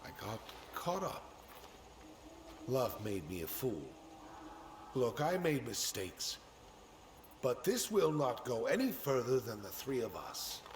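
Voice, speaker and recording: An actor playing a cowardly middle-aged politician in a video game drama, defensive, halting and pleading. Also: male voice, mid-range voice, sing-song, reverberant, slight background noise.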